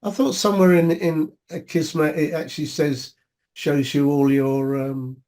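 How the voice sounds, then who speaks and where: A man's voice: a little high, level tone, normal speed, a little mellow. Muttering man thinking aloud on a call. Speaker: meetup attendee. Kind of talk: casual video call chat. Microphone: video call.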